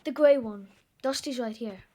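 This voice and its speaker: A woman's voice: a little high, normal speed, mellow, close to an everyday voice. A woman acting a stubborn, sulky young schoolgirl in a children's audio drama. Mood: anxious, stubborn.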